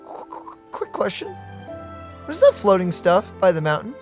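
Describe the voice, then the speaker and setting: A woman casually reacting to a video game as a streamer, expressive and incredulous. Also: medium pitch, a little slow, soft background music.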